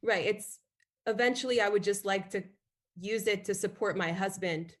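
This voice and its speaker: A woman's voice: mid-range voice, moderate pace. Hesitant young woman caller. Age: 20s. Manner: hesitant, full of "like". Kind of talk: call-in conversation.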